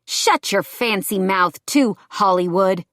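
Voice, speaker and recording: A woman acting a menacing, sneering man, raised in pitch. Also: animated, moderate pace, dry recording, clean studio sound, close to an everyday voice. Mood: taunting, angry. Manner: taunting, threatening. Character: menacing, sneering, angry ex-boyfriend, lanky thug with knife.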